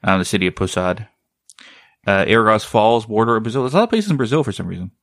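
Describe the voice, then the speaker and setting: A man's voice: lowish voice, animated, fast talker, fairly bright tone, dry recording, clean studio sound. A man hosting a casual chat, rambling and often searching for the right word.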